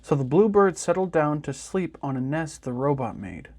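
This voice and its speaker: A man reading a children's picture book aloud in an expressive storytelling manner. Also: fairly high pitch, moderate pace, slight background noise. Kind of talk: children's story time narration.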